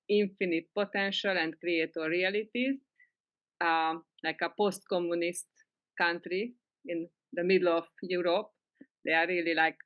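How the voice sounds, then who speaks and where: Middle-aged Hungarian woman, lowish dry voice. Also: fairly bright tone, no echo. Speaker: spiritual teacher, client on a coaching call. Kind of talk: casual personal sharing.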